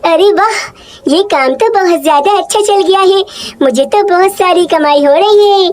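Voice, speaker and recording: A woman's voice: very high-pitched, moderate pace, darkish tone. A woman voicing a greedy, pushy female crow shopkeeper in a children's cartoon, with a bossy, scheming manner. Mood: greedy, excited.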